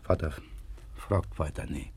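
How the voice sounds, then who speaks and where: An actor playing a pious, gentle village man in a radio play, speaking haltingly and earnestly. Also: a little hiss or hum, close to an everyday voice. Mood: sorrowful, gentle. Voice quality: husky, creaky. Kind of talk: naturalistic German radio play acting.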